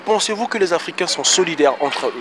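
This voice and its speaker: A man's voice: a little high, lively intonation, fast talker, noisy background. A young man doing a street interview outdoors, asking passersby questions into a handheld phone, with a few hesitant fillers. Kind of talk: street vox pop interview. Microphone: handheld phone held out.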